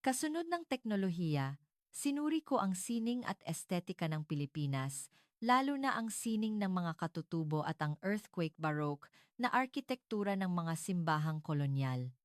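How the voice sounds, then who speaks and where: A woman narrates a scripted dramatic story in the first person. Her voice is smooth and a little low for a woman. She speaks formally and earnestly, in a close, dry recording. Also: sing-song, normal speed, no echo.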